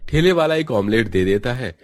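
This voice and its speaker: A man's voice: mid-range voice, animated, moderate pace. A man narrating a scripted story in a dramatic storytelling style.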